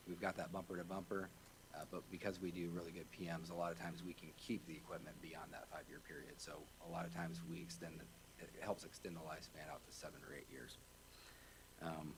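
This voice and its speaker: A man with a deep voice, a parks staff member presenting an item at a public meeting in a plainspoken way with many ums and uhs, through a meeting microphone. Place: meeting room. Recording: echoey meeting room audio with hum.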